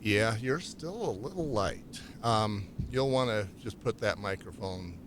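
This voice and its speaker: A man interviewing a guest in a relaxed, casual way and giving calm advice about microphone setup. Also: on the low side, a little slow, noisy background. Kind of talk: casual chat.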